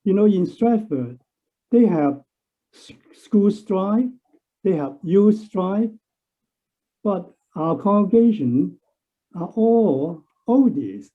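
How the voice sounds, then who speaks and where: A man from a church congregation speaking earnestly on a video call, often pausing to search for words. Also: high-pitched, expressive. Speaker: church member. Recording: tinny phone-like line.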